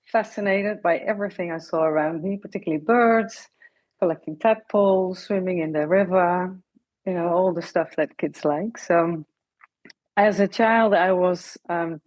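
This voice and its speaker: A woman's voice: fairly low pitch, normal speed, a little mellow. A woman from the Netherlands with a Dutch accent, answering casually as an interview guest, on a dull lo-fi recording.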